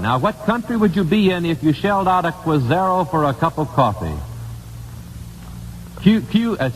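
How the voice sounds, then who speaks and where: An American man hosting a live 1950s radio quiz, putting questions deliberately and repeating key phrases for emphasis on an old broadcast recording. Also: fairly high pitch. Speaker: quiz show host. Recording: old radio broadcast, hall reverb, hiss.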